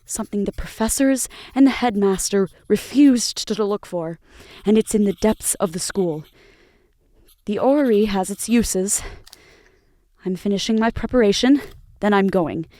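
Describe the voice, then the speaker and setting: A woman's voice: mid-range voice, mellow, dry recording, studio quality, close to an everyday voice. A woman playing an anguished, determined young student in a dramatic audio drama monologue. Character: anguished, determined young female student reading her journal.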